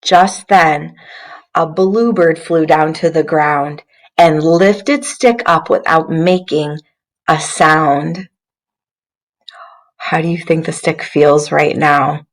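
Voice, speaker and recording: Female teacher for interactive picture-book reading, slow delivery, encouraging, puts questions to kids. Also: sits low, fairly even intonation. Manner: encouraging, questioning, addresses young listeners directly. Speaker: teacher reading aloud to children. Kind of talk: interactive children's storybook read-aloud.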